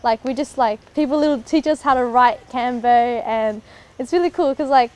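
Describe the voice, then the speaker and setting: A woman's voice: high voice, fast talker, smooth. A young woman giving casual, rambling interview answers peppered with "like". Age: teens to young adult. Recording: noticeable background noise.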